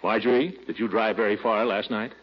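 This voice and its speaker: An actor playing a pompous, blustery middle-aged uncle and town official in a theatrical 1940s radio comedy style. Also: male voice, lowish voice, steady tone, fairly quick, breathy edge. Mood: indignant. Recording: muffled vintage 1940s radio recording.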